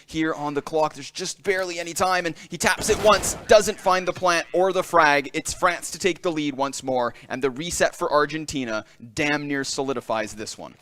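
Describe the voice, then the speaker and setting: A man with a fairly high voice calls an esports match play by play. He talks quickly and builds excitement on the big moments. Faint event noise sits under his voice. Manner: fast, excited calls of the action. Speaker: esports caster.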